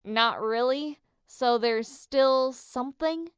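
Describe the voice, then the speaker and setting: A woman's voice: high-pitched, takes it slowly, smooth, dry recording, studio quality. A woman voicing a cheeky, teasing teenage boy in a dramatic story reading, brash and insistent. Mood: concerned.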